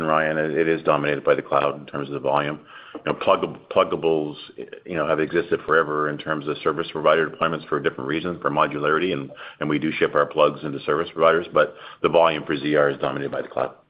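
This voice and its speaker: A man's voice: extremely low pitch, fairly even intonation, quick pace. A man speaking as a company executive, giving a technical explanation on an earnings call. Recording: muffled conference call audio.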